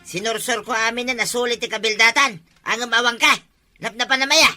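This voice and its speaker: A man's voice: very high voice, steady tone, brisk, pushed and bright, no echo, faint music behind, full character voice. A man with an Ilocano accent playing a teasing, sly villager in a comic drama.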